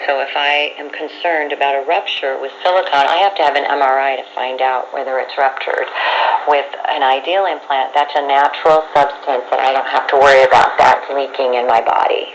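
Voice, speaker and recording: A woman's voice: a bass voice, steady tone, a little fast. A woman giving explanatory personal testimony as an interviewee. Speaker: patient interviewee. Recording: muffled, noisy shortwave radio.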